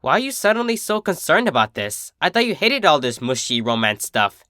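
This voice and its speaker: A man's voice: a little high, sing-song, a little fast, bright, forceful tone, dry recording, clean studio sound. A man voicing a cocky, protective female pegasus, a big sister with a brash, casual, reassuring manner.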